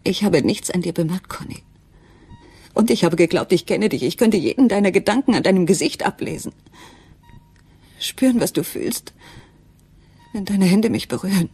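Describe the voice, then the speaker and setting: A woman playing an anguished, frightened wife and mother in a radio drama, speaking haltingly and intimately, at times near a whisper. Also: lowish voice, expressive, warm tone. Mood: anguished, disbelieving. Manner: breathy, halting, dropping into whispers. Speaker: actress in a crime radio play.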